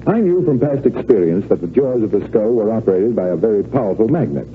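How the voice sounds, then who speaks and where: An actor playing a confident, unruffled, slightly smug stage magician in an old radio drama. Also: male voice, lowish voice, sing-song, fast talker. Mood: smug, teasing. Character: confident, cool-headed male stage magician. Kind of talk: 1940s radio drama acting. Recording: tinny, narrow, noisy old radio recording.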